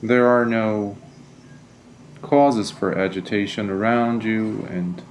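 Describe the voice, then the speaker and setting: Slow, low-voiced man guiding meditation. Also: slight background noise. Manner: gentle, deliberate, soothing instruction. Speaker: meditation teacher. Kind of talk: guided meditation.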